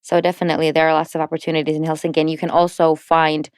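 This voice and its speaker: A woman's voice: sits low, completely flat delivery, racing, a little mellow, clean tone, no echo, clean studio sound. A woman talking as a podcast guest, fluent and explanatory, with light laughs. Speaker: podcast guest, entrepreneurship network representative. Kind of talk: casual podcast conversation.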